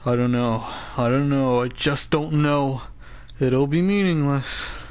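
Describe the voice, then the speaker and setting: A man's voice: medium pitch, steady tone, a little slow, smooth, no echo, natural voice. A man voicing a grumbling, broke male cartoon show announcer who whines, sighs and makes sarcastic complaints. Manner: whiny, complaining and sarcastic, with many sighs and groans. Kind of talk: comedic cartoon voice acting.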